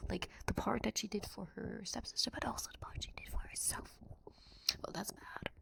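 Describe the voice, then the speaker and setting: A woman in a whispered ASMR roleplay, telling a bedtime story in a soft, breathy, rambling, casual way as a quirky girl. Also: mid-range voice, normal speed, dry recording. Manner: rambling, improvised teen-slang delivery. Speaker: ASMR roleplay performer and streamer.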